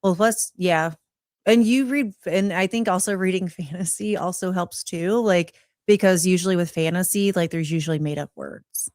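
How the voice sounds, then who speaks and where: Casual co-host, woman, low-pitched, dry sound, hesitant chatty delivery. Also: moderate pace, a little mellow, no echo. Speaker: podcast co-host. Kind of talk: casual chat.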